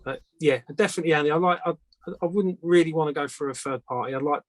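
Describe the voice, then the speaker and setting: A man's voice: a little high, fast, dry recording. A man who makes antennas, answering questions casually as a guest in a plain-spoken, hesitant way. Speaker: antenna maker, guest speaker.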